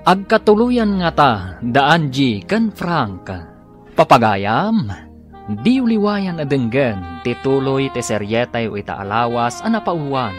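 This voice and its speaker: A man's voice: medium pitch, very animated, measured pace, soft background music. A man with an Ilocano accent giving dramatic scripted narration as a radio drama announcer.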